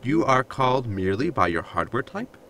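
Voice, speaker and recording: A man's voice: mid-range voice, sing-song, brisk, creaky, sharp tone, a little hiss or hum, heavily acted. A man playing an earnest, pedantic android engineer in a sci-fi sitcom audio drama, formal and lecturing.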